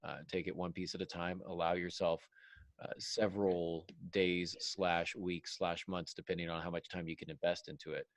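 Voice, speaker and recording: A man hosting a casual podcast discussion, giving writing advice in halting phrases and repeating points for emphasis. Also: low-pitched, flat delivery, no room sound. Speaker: podcast host and fiction writer.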